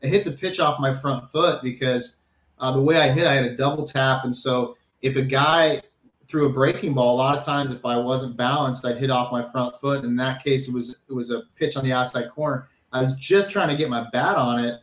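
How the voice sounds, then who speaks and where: Male, American, ex-athlete guest telling stories casually, medium pitch, echoey room sound. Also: fairly even intonation, a little fast, fairly bright tone. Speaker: former college baseball player, guest. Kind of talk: casual reminiscing in an interview.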